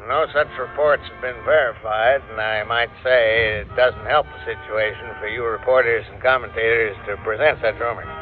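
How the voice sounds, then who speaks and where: A man's voice: medium pitch, steady tone, normal speed, few pauses, faint music behind, close to an everyday voice. A man playing a guarded, stern American general answering a reporter in a clipped official manner in a radio drama. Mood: guarded, firm. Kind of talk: classic radio drama, staged press interview. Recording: tinny old radio recording.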